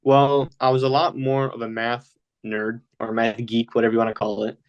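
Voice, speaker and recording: A man's voice: medium pitch, flat delivery, normal speed, clean tone, dull audio. A young man who grew up speaking Polish at home, talking casually as a podcast guest and rambling with many fillers. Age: young adult. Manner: rambling, lots of fillers like "you know". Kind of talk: casual interview talk.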